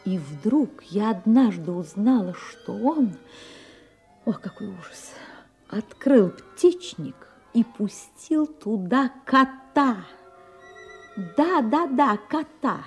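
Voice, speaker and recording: Fluttery, indignant older mother, swooping high. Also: lively intonation, slow, darkish tone, husky, soft background music, heavily acted. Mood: dramatic, horrified. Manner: dramatic sighs, repeated words, fluttery indignation. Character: indignant, theatrical older mother nursing an old grudge. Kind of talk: Russian audio drama acting.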